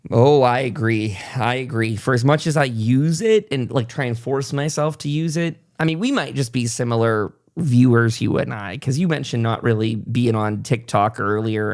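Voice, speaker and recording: Rambling male podcast host, slightly gravelly. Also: medium pitch. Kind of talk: casual conversational podcast talk.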